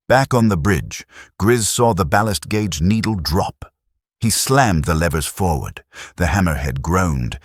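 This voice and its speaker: A man's voice: rumbling low, husky, dry recording, studio quality. A man narrating a science fiction audiobook with dramatic storytelling delivery. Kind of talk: scripted sci-fi narration.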